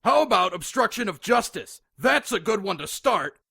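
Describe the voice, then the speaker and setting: A man playing a stern, smug police lieutenant in a radio drama, curt and commanding, with a raspy voice. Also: high voice, big pitch swings, moderate pace, pushed and bright, heavily acted.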